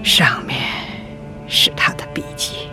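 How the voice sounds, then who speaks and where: Elderly grandmother, radio drama, very slow, halting and wistful, string music behind. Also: low voice, vocal fry, full character voice. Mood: reminiscent, wistful. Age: older. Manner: halting, sighing, reminiscent delivery. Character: wistful, long-suffering old grandmother recalling hardship. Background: cello and string music.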